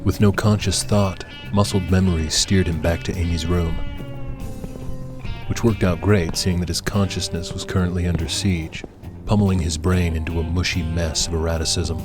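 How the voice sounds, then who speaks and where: A man reading scripted dramatic fiction as an audiobook narrator. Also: low-pitched.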